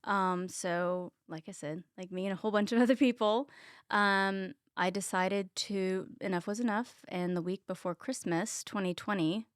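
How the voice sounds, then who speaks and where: Chatty Texan woman, smooth voice. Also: mid-range voice, steady tone, normal speed, dry recording, clean studio sound. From Texas. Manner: chatty, many ums, laughs at herself. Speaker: guest, health coach. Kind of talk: casual interview chat.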